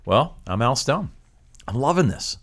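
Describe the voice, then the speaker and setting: A man's voice: medium pitch, very animated, unhurried, fairly bright tone. An American man hosting a casual ministry talk show, enthusiastic and jokey, often repeating his phrases. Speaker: podcast host.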